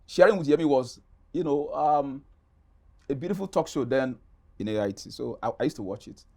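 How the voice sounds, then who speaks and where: A man's voice: medium pitch, moderate pace, creaky, dark tone. A middle-aged Nigerian man, an actor being interviewed, telling personal stories in an emphatic, conversational way with repeated phrases. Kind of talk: animated personal storytelling in conversation.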